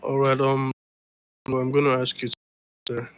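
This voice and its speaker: A man's voice: mid-range voice, completely flat delivery, normal speed, noisy background. A man acting as a trainee in a roleplay game, speaking formally and politely with "sir".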